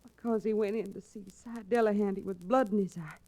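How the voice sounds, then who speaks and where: An actress playing a bitter, spirited rancher's young daughter in an old-time radio western, dramatic and sharp-tongued. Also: female voice, medium pitch, fairly even intonation, a little fast, natural voice. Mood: grieving, bitter. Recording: restored vintage radio drama recording.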